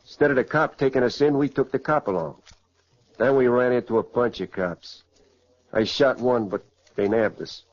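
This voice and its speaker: An actor playing a cocky, remorseless escaped convict, boastful and matter-of-fact, in a 1940s radio drama. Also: male voice, mid-range voice, normal speed, pauses often, natural voice. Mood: cocky boasting. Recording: muffled, faintly noisy old radio recording.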